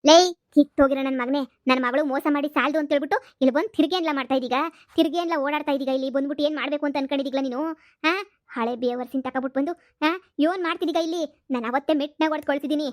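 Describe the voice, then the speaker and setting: A woman playing a brash, quick-tempered village mother who scolds in rapid-fire bursts. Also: high-pitched, fairly even intonation, no echo, clean studio sound, natural voice. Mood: scolding. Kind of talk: comedy sketch acting.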